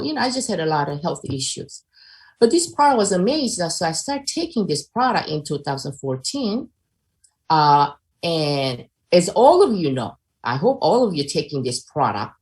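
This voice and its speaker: A woman's voice: deep voice, a little mellow. An older woman from South Korea with a Korean accent, chatting through a personal testimony on a video call with many fillers. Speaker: presenter.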